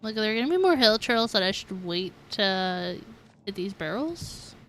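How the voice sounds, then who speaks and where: A woman's voice: mid-range voice, sing-song, moderate pace, fairly bright tone, slight background noise. A woman doing casual live commentary as she streams a game, often musing aloud in questions.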